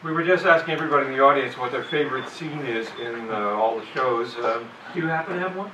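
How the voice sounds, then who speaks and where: A man's voice: mid-range voice, fast talker. A man moderating a convention panel, asking friendly, loose questions full of fillers. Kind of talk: casual interviewing. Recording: echoey hall, distant mic, loud room noise.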